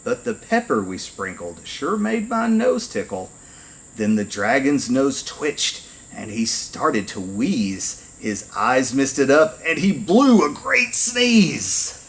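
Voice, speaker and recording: A man reading a rhyming picture book aloud to children in an expressive, deliberate storytelling manner. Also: medium pitch, low-quality recording. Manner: animated storytelling, deliberate phrasing.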